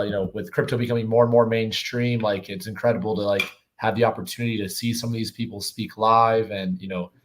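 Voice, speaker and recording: A man with a slightly hoarse voice from yelling, chatting casually and enthusiastically in slangy speech as a guest on a livestream. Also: lowish voice. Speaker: guest on a live show.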